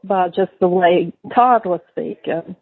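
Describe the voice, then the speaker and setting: A woman's voice: on the low side, takes it slowly, dry recording. A middle-aged woman talking casually on a recorded phone call. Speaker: mother on a call to her son in jail. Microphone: telephone.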